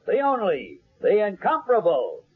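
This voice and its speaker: A man's voice: high voice, huge pitch swings, normal speed, breathy edge, heavily acted. A man playing a stage psychic's loyal, streetwise partner and showman in an old-time radio drama. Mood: grandiose. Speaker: actor. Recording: muffled, narrow-band old radio recording.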